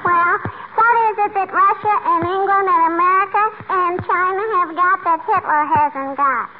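Noisy 1940s radio comedy: innocent, insistent little girl, extremely high voice. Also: steady tone, normal speed, narrow, tinny audio. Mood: cheeky. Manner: childish, insistent, singsong questioning. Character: pestering little neighbour girl, innocent and cheeky.

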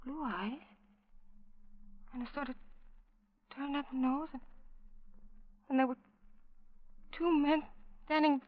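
Anxious, high-voiced young wife. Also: female voice, fairly even intonation, slow, pauses often. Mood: relieved, then uneasy. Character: anxious, naive young wife, easily swayed. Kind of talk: 1940s radio drama acting. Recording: dull, dry vintage radio recording.

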